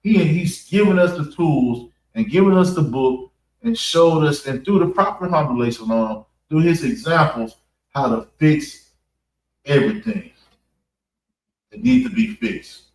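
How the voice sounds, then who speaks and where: Echoey, higher-voiced American man preaching. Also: very animated, a little mellow. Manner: halting, repeats phrases for emphasis. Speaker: preacher giving a public address at a mosque. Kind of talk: extemporaneous religious talk. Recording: echoey room, distant mic.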